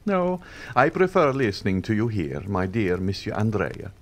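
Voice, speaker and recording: A man voicing a suave nobleman who speaks in a smooth, half-jesting, courteous tone. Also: lowish voice, very animated, normal speed, pitched above the performer's own voice. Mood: courteous, ironic. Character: suave, coolly ironic older nobleman, male.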